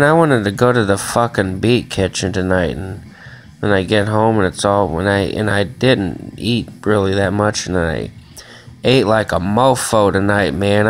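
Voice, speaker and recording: A man rambling casually and swearing often in a home vlog, filmed on a handheld phone in a small room. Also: fairly low pitch. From Chicago area. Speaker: vlogger. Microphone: phone camera held in hand.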